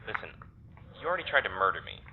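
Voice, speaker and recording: Tinny, fast-talking exasperated man. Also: deep voice, big pitch swings, creaky, heavily acted. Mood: hurt, exasperated. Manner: halting, earnest, defensive. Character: wounded, exasperated person confronting would-be killer. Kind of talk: naturalistic audio drama acting. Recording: thin radio-like sound with noise.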